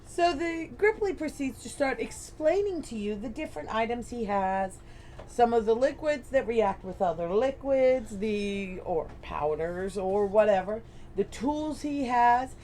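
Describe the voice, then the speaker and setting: Woman running a tabletop game, kinda high voice, rambly and chatty. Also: lively intonation, a little slow, mellow, slight background noise. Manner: chatty, self-deprecating, rambling narration with fillers. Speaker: game master.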